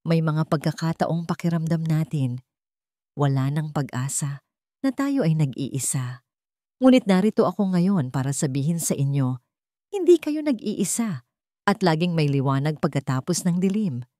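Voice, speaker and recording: A woman's voice: low-pitched, animated, normal speed, mellow, no room sound, clean studio sound. A Filipino woman voicing a sincere, grateful and resilient young woman in an audiobook drama. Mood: confident, hopeful.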